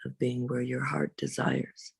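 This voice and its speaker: Woman, low gravelly husky voice, heavy vocal fry, unhurried guided meditation, long pauses, dry close sound. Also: little pitch movement, no room sound. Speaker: reverend leading guided meditation. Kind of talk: slow, soothing guided meditation.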